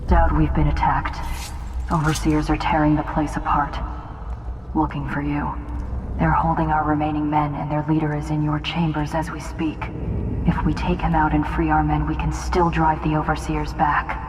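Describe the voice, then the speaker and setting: A woman's voice: low-pitched, completely flat delivery, moderate pace, background noise, lightly acted. An actress playing an ambitious young female assassin lieutenant who reports in a clipped, matter-of-fact way in a game cutscene. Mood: urgent. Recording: game music behind.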